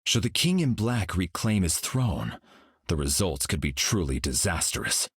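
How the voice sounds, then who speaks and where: An actor voicing a serene, solemn golden cosmic hero for a video game, speaking in a calm, measured, grave way. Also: male voice, low voice, husky, no room sound, studio quality, full character voice.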